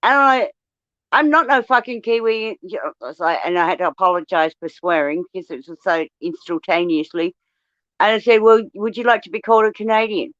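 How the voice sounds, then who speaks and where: A woman's voice: medium pitch, a little fast, dry recording. Aussie woman chatting, blunt, swears a lot, dry slightly muffled recording. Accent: Australian. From Australia. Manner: blunt, swears freely, animated storytelling. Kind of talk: casual chat.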